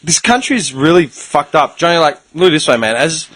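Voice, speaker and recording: A man with an Australian accent whose first language was Greek, chatting on a podcast. He talks with a lively, swinging pitch that sits slightly high. He swears freely and leans into his stories. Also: normal speed. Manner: animated, swears a lot, laughs through stories. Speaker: podcast host. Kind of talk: casual podcast banter.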